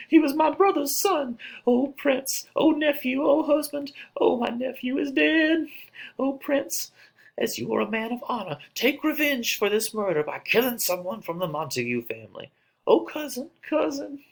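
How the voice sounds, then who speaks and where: A man acts out a grieving noblewoman in a play reading. The voice sits far up in a shrill, high register. It wails and pleads, hysterical and hungry for vengeance. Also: expressive, normal speed, gravelly, full character voice. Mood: wailing grief. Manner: wailing, pleading.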